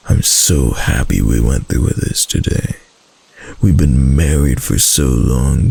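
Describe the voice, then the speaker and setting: A doting, possessive fox-spirit husband acted by a man murmurs in an intimate ASMR roleplay. His voice is extremely deep and heavily gravelled, often slipping into a whisper. He speaks slowly and softly, lingering tenderly over his words. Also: full character voice. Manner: slow, soft, adoring murmur with lingering pauses.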